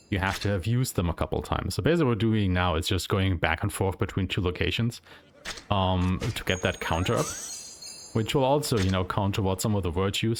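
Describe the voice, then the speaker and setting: A man's voice: sits low, a little hiss or hum. A man giving casual, explanatory commentary as he plays a video game live. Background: video game music and sounds.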